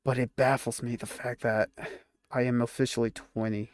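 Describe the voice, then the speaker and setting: A young man in his twenties rambles casually into a close, dry mic. He often stops himself mid-sentence and chuckles at his own remarks. His pitch sits in an ordinary middle range. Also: little pitch movement, normal speed, no room sound. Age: 20s. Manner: rambling, self-interrupting, laughs at himself. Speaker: YouTuber. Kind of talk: casual reflective vlog talk.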